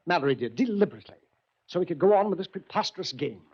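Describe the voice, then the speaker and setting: A man's voice: high-pitched, expressive, very fast, breathy edge, full character voice. An actor playing an agitated, suspicious male passenger, flustered and querulous, in 1940s radio mystery style. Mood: indignant, suspicious. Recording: muffled old radio recording.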